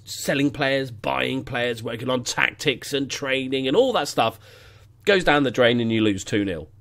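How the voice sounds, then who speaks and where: Let's-play host, 30s, stuffy with a cold, a bit high, sweary and rambling at a home desk mic. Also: male voice. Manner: sweary, self-mocking, rambling. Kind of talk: casual gameplay commentary. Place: living room at home. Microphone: studio mic on stand, headphones.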